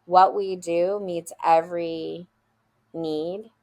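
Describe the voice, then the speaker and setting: Woman, fairly low pitch, gravelly edge, emphatic, earnest, interview guest, repeats words as she talks. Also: little pitch movement, slow. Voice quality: loud voice. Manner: earnest, emphatic, restarts often. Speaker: school founder, podcast guest. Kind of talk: interview answers.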